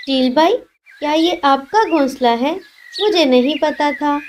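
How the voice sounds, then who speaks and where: A woman plays a trusting little mother sparrow in a children's cartoon. Her voice is high, sweet and polite, with faint noise behind it. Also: a little slow. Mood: apologetic. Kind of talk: Hindi children's cartoon voice acting.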